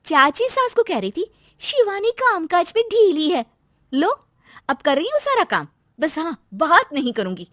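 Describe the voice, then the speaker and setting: A woman's voice: very high voice, sing-song, a little slow, fairly bright tone, no room sound, voice pushed up, exaggerated voice. A woman voicing an outspoken, easily hurt young daughter-in-law in a family moral story, with an emphatic manner. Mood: aggrieved. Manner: pointed, complaining, emphatic.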